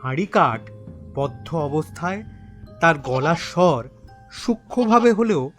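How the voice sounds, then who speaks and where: A man narrating a scripted horror story in a dramatic, suspenseful way with deliberate pauses. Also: a little high, lively intonation, soft background music.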